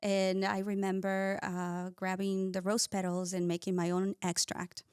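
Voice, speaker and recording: A woman's voice: medium pitch, completely flat delivery, measured pace, smooth, no room sound, clean studio sound. Ecuador-raised woman telling her story. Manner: warm storytelling with frequent "uh" and small grammar slips. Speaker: guest, cosmetic scientist. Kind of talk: casual interview talk.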